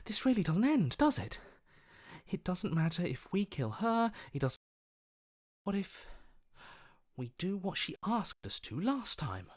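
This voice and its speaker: Uneasy hero's inner voice, swooping pitch. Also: male voice, very animated, measured pace, long pauses, heavily acted. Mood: anxious, pleading. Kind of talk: video game voice acting.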